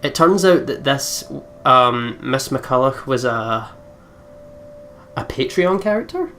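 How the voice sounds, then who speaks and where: A woman's voice: extremely low pitch, lively intonation, slow, fairly bright tone, slight background noise. A woman hosting a let's play, casually commenting and reading the game text aloud in a chatty way.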